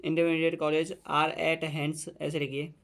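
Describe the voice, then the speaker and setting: A man with an Indian accent teaching students, dictating a letter slowly phrase by phrase and mixing in explanations. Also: on the high side, little pitch movement, vocal fry, fairly bright tone. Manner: slow, repeating each phrase as he writes; mixes Hindi explanation with dictated English.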